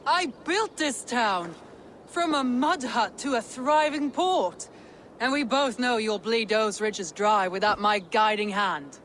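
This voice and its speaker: A woman's voice: on the high side, lively intonation, slow, a bit bright, slight background noise. A woman playing a resolute female Viking jarl in a dramatic video game, speaking in a declamatory way. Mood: defiant, calling out. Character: proud, commanding female Viking jarl, warm to her warriors.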